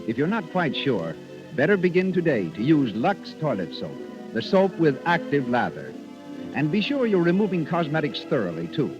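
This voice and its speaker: An American man announces a 1930s radio advertisement with polished salesmanship and lively swings in pitch. The recording is muffled, with music clearly behind him. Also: normal speed.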